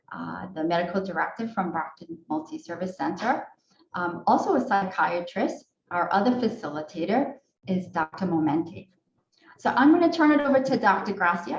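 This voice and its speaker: A woman hosting an online training session, speaking politely and steadily with plenty of "um"s. Her voice carries a roomy echo. The audio is a little dull and lo-fi. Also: fairly low pitch. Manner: polite, measured, frequent fillers. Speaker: training director and moderator. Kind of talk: online training welcome and housekeeping. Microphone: video call. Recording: echoey room, dull lo-fi sound.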